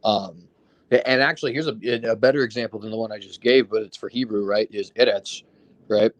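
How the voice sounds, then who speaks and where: Low-voiced man explaining, a bit foggy. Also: steady tone, fairly quick, muffled recording. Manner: explains with examples, hedging, frequent "right?" and fillers. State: recovering from illness, foggy. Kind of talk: casual discussion.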